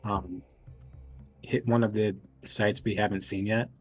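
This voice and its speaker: Male tabletop player, slightly deep voice, loose conversational delivery. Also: level tone, a little slow, darkish tone, breathy edge, faint music behind. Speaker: player in a tabletop role-playing game. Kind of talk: casual chat.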